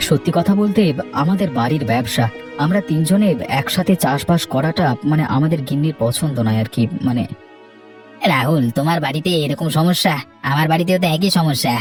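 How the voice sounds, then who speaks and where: A man's voice: a little high, a little fast, soft background music, natural voice. A man playing a harried village farmer in a cartoon, speaking in a respectful, earnest manner. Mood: earnest, obedient. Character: deferential village farmer, plain-spoken and harried. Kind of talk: cartoon dialogue.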